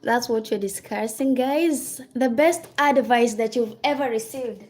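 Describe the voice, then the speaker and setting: A Kenyan woman with a notably high voice, talking loosely and informally as she hosts a livestream. She reads viewers' comments aloud, answers them in a chatty way, and keeps thanking people by name. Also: unhurried, darkish tone. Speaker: livestream host. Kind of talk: casual livestream chat.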